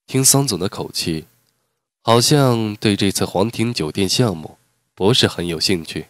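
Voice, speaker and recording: An actor voicing a composed young male architect in an audiobook, speaking in a measured, formal and reserved way. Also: on the low side, animated, a bit bright, dry recording, clean studio sound. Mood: cool. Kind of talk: audiobook dialogue reading.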